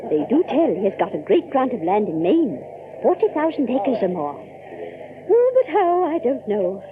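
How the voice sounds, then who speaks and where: An actress playing a brisk colonial mother in an audio drama, gossipy and scolding in archaic speech. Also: a little high, wildly swooping pitch. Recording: tinny recording with clear background noise.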